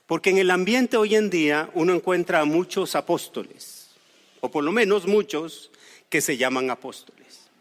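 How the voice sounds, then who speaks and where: Costa rican guy preaching, high voice, echoey hall. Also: normal speed. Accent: Costa Rican Spanish. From Costa Rica. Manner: explains scripture, asides and gentle humor. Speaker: pastor. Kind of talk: sermon with storytelling.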